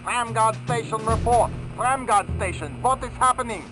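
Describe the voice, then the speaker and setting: An actor playing an officious German army officer with clipped radio-call phrasing in a video game. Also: male voice, very high voice, normal speed, music in the background. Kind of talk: video game cutscene acting.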